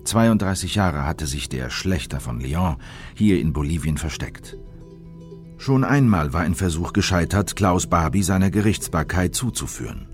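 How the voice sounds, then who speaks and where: A man's voice: low voice, lively intonation, moderate pace, faint music behind. A man narrating a scripted radio documentary in a formal, factual manner. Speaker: narrator and interviewer.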